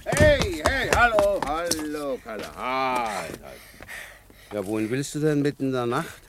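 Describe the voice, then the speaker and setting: A man's voice: mid-range voice, big pitch swings, measured pace, very noisy. A man playing a good-humoured, indulgent policeman in a children's radio play, teasing with mock-solemn irony. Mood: surprised.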